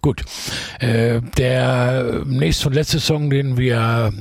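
A man's voice: mid-range voice, fairly even intonation, very slow, no echo. A man hosting a radio interview, asking leading questions with enthusiasm about the music. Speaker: radio host.

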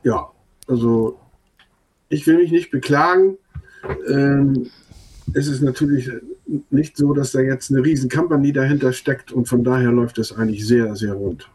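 An older man whose first language is Low German, a band leader answering interview questions hesitantly with many fillers. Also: normal speed, a little mellow, husky.

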